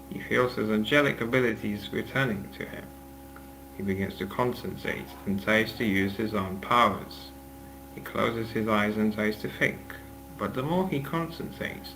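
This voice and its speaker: A man's voice: fairly low pitch, gravelly, background noise. A man reading scripted narration aloud as the narrator of a table read, with music playing behind him.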